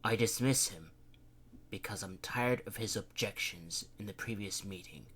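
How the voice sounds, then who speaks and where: A man voicing a stern, dismissive male mayor in a lowered voice. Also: normal speed, a bit bright, slight background noise, natural voice. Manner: curt, dismissive, lower-pitched.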